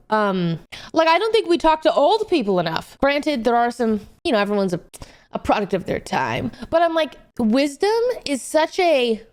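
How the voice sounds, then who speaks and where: A young woman in her late twenties with a high, very clear and smooth voice. She chats informally like a livestreamer thinking out loud, using slang and leaning on words for emphasis. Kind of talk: casual rambling chat to stream viewers.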